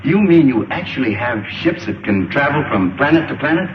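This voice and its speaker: An earnest, daring pilot hero , played by a man from a vintage film serial. He talks in a polite, clipped way. His voice is mid-pitched, on a dull, echoey soundtrack. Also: expressive, a little fast, noisy background, close to an everyday voice. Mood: earnest, determined. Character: earnest, bold young male pilot hero. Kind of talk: 1930s film serial acting. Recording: muffled old film soundtrack, roomy, faint music.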